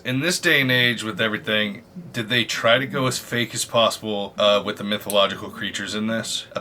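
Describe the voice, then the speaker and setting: A man's voice: fairly low pitch, sharp tone, slight background noise. A young man giving casual reaction commentary in an informal, slangy, exclaiming way as a video host.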